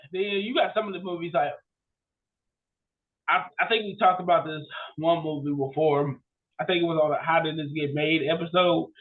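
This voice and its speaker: A man co-hosting a podcast in casual chat, rambling in an animated way and repeating his phrases. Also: fairly high pitch, narrow, tinny audio. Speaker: podcast co-host. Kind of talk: casual chat about bad movies.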